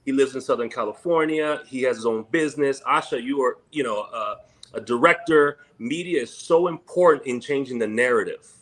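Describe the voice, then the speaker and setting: Energetic slangy male panel moderator. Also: medium pitch, fairly quick. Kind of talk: casual panel moderation, mixing in Spanish.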